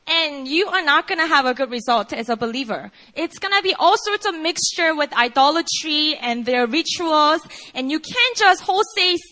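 A woman's voice: sits high, expressive, normal speed, hardly any pauses, pushed and bright. A Korean woman whose first language is Korean, preaching a sermon in English with a Korean accent, in a conversational way full of "you know" fillers. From South Korea.

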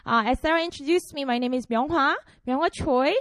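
A woman's voice: sits high, a little fast, a bit bright, no echo. A woman in her twenties from Korea, a pastor preaching a casual, playful sermon to students. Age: 20s. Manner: chatty and playful, frequent fillers.

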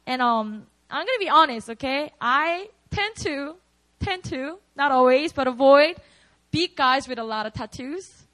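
A woman's voice: sits high, animated, measured pace, fairly bright tone. A young woman with a Korean accent preaching at a prayer meeting, candid and chatty, often laughing at herself.

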